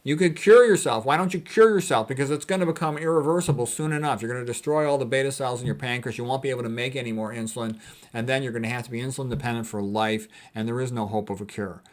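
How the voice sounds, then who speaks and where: A man's voice: mid-range voice, fast talker. A man giving an informal lecture as a physician, commenting on quotes in a blunt, dismissive, colloquial way and repeating his points emphatically.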